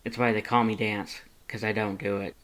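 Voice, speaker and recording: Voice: mid-range voice, level tone, a little fast, a bit bright, close to an everyday voice. A narrator voicing a guarded, prickly male skeleton monster who talks in a terse, casual way. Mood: strained, dry.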